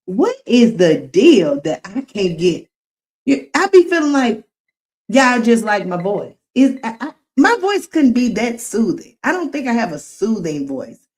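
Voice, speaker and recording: A woman's voice: on the high side, sing-song, darkish tone. A woman livestreaming, giving casual commentary and talking straight to her viewers. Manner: slow, drawn-out talk, addressing viewers directly.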